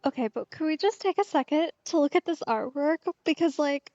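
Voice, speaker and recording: A woman's voice: high voice, animated, brisk, warm tone, no echo, muffled recording. A woman streamer giving casual, understated and slightly hesitant commentary on a game.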